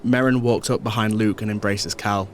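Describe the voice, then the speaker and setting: A man's voice: lowish voice, fairly even intonation, moderate pace, slight background noise. A man narrating a scripted audio drama. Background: music.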